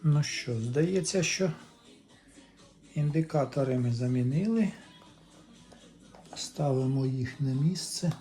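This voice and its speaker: A man with a dark, mellow, mid-pitched voice talks through hands-on repair work. He sounds like a hobbyist thinking aloud, casual and a little tentative as he goes step by step. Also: takes it slowly, long pauses, faint music behind. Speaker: hobbyist repairer presenting a DIY video.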